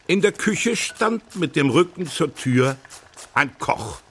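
A man narrating a children's radio play, telling the story deliberately in short, measured phrases. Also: a little high, animated, fairly bright tone, breathy edge.

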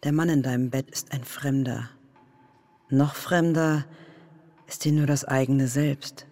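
An actress playing a mocking, goading inner voice of fear in a radio drama, husky and teasing. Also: female voice, extremely low pitch, fairly even intonation, measured pace, dark tone, no echo. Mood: knowing, needling. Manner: teasing, swooping delivery.

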